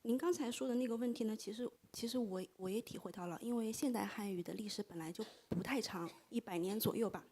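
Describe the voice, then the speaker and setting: A woman in her thirties whose first language is Chinese, asking a panel a question from the audience, soft-spoken and hesitant. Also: a little high, fast, few pauses, slight background noise. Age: 30s. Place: bookstore event space.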